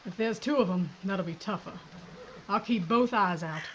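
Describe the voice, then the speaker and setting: A woman's voice: medium pitch, normal speed, fairly bright tone, slight background noise, natural voice. A woman playing a tough Old woman sheriff. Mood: determined. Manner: dry, blunt, folksy. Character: tough gun-toting woman sheriff, blunt and stubborn. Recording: dull lo-fi audio.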